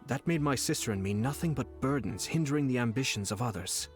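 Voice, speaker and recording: An actor playing a composed noble male commissioner who speaks smoothly and courteously, with quiet menace. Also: medium pitch, expressive, a little fast, soft background music, close to an everyday voice. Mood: solemn. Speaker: clan commissioner. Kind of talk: game voice acting.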